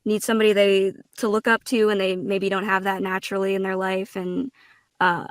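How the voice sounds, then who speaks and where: A woman giving a casual, reflective interview answer, earnest and halting, with informal turns of phrase. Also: medium pitch, level tone, no room sound, studio quality. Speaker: guest voice actor and streamer.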